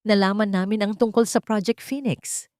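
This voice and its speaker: A woman's voice: mid-range voice, fairly even intonation, moderate pace, a little mellow, no room sound, studio quality, voice pushed up, close to an everyday voice. A woman voicing a battered male hero held captive, weak but stubborn, in an audiobook drama. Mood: weary, urgent.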